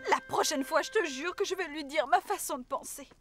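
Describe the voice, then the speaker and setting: An actress voicing a proud, put-upon young wife in a French cartoon dub. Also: female voice, high-pitched, fairly quick, fairly bright tone, no echo. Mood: indignant. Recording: music under the voice.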